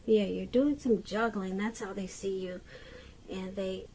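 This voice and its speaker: A woman giving an unscripted tarot reading to the viewer, halting as she goes, pausing mid-sentence and repeating phrases. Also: medium pitch, fairly even intonation, warm tone, a little hiss or hum. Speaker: tarot reader.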